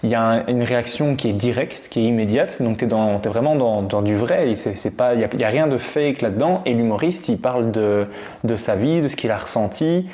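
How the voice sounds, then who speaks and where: A man's voice: medium pitch, fairly quick, darkish tone. Thoughtful man hosting an interview. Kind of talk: conversational interview.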